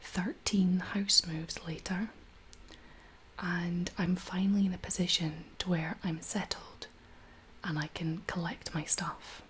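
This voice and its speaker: Hushed, breathy woman doing ASMR. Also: lowish voice, steady tone, a little hiss or hum. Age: 30s. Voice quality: breathy, whispery, slightly husky. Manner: gentle, hushed, unhurried. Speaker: ASMR video host. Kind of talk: soft-spoken ASMR, casual chat.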